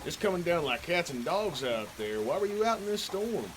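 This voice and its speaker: A man hosting and narrating a horror story, folksy and unhurried, who talks straight to the listener. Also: high voice, big pitch swings, slight background noise.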